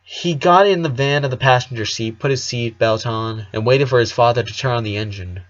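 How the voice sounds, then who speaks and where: A man's voice: mid-range voice, a little fast. A man narrating a story aloud as a reader who now and then stumbles on a word, recorded slightly dull. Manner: occasional stumbles over words while reading. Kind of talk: fan fiction read aloud.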